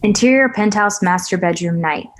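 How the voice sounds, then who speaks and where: A woman reading stage directions aloud at a script table read, even and matter-of-fact. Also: mid-range voice, moderate pace.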